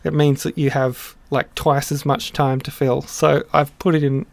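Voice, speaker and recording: A middle-aged man explaining his song translation in a casual, halting way, with many pauses and restarts. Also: medium pitch, little pitch movement, creaky, no room sound. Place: small room indoors.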